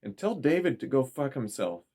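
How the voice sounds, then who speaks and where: A man's voice: medium pitch, sing-song, moderate pace, mellow, breathy edge, voice pushed up. A man reading the part of a bitter, resolute adult daughter, blunt and terse. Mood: angry.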